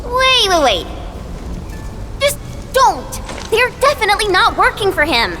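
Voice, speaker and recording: Casting: bubbly, quick-witted young game heroine, high-pitched, very animated delivery, music underneath. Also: female voice, exaggerated voice. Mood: excited, urgent. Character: bubbly, quick-witted young woman investigator, talkative and expressive. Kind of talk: animated video game voice acting. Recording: loud music behind the dialogue.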